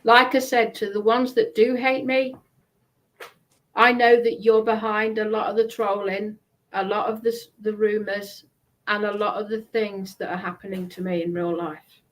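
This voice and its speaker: A woman's voice: medium pitch, level tone, normal speed, poor audio. A woman live streamer delivering a confrontational monologue in an accusatory, emphatic, repetitive manner.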